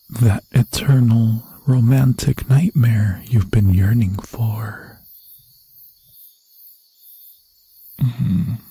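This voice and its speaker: A man performs a lovesick, menacing demon lord for ASMR horror roleplay. The voice is low and very husky, often falling to a whisper. He speaks slowly and seductively in grand villain phrases, close and dry on the microphone. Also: warm tone, full character voice. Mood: tender, yearning. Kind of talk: ASMR fantasy horror roleplay, theatrical monologue.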